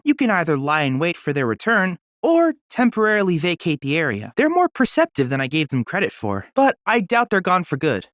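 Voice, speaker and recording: A boyish android helper for a game, super polite n formal, bookish, lowish pitch, really lively intonation, dry sound. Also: female voice, dry recording. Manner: formal, precise, courteous, bookish phrasing. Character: polite, eager boyish android research assistant. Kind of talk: scripted video game voice lines.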